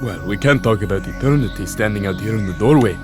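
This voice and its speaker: An actor playing a testy, pompous Pharaoh with an imperious manner in a comedic audio drama. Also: male voice, on the low side, animated, fast talker, over music, close to an everyday voice. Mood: weary, dismissive. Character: testy, pompous male Pharaoh of ancient Egypt. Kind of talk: comedic audio theatre acting.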